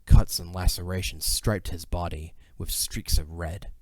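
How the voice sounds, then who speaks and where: A man's voice: sits low, steady tone, a little slow, dry recording. A man narrating a fantasy audio drama in a dramatic, scripted storytelling style.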